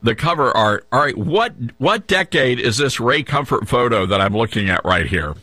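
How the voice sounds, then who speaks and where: A man's voice: mid-range voice, sing-song, normal speed, sharp tone, dry recording, studio quality. A man hosting a radio talk show, interviewing a guest in an upbeat, joking, conversational way.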